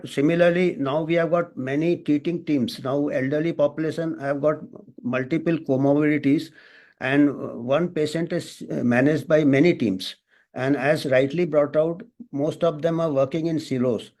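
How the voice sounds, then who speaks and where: An Indian-accented man speaks as an expert on a panel, in a somewhat high-pitched voice. He thinks aloud, halting and restarting his phrases as he builds his points. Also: darkish tone. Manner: halting, thinking aloud with frequent false starts. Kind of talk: extemporaneous panel remarks on a professional subject.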